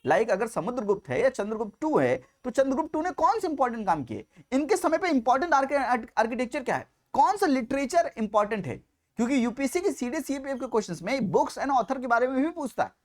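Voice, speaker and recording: A man's voice: very high voice, sing-song, quick pace. A man with an Indian accent teaching as an exam coach, lecturing emphatically and repeating key points in mixed Hindi and English.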